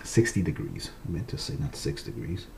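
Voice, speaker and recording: A man's voice: a bass voice, sing-song, a little fast, vocal fry, noisy background. A man with a stuffy nose showing his toy car collection at home in a rambling, chatty way, full of fillers and restarts. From Massachusetts, USA. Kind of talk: casual vlog.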